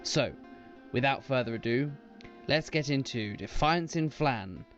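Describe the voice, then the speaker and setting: A man's voice: medium pitch, lively intonation, a little slow, fairly bright tone. A man narrating a scripted introduction to an audio show as a trailer narrator. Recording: slightly dull audio under plucked-string music. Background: plucked guitar music.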